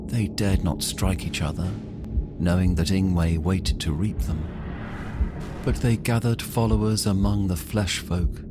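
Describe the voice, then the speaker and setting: A man reading a fantasy prologue as scripted narration, slow and solemn, in the manner of a mythic storyteller. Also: sits low, steady tone. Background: music.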